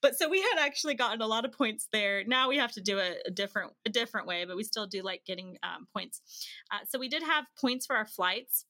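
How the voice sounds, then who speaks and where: A woman guest on a podcast, telling stories in a casual, animated way and acting out bits of dialogue. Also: medium pitch, a little fast, no room sound. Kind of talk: casual conversational storytelling.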